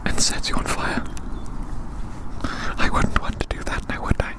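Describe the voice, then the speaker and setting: An ASMR host whispering a playful roleplay monologue as a quirky, inquisitive scientist, softly repeating phrases. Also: moderate pace. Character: quirky, inquisitive scientist examining a little creature.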